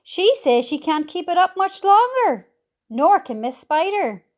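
Woman doing a high, bossy, yelling male centipede. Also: very animated, a little slow, heavily acted. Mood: urgent yelling. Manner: loud, frantic, exhorting. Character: bossy, shouting, impatient male centipede in a storybook.

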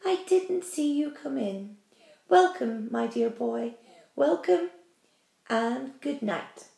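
A woman voicing a prim female glowworm who speaks slowly and coolly, in a storybook reading. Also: sits high, animated, vocal fry, mellow, exaggerated voice. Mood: sweet. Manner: slow, haughty, then sweet. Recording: roomy echo.

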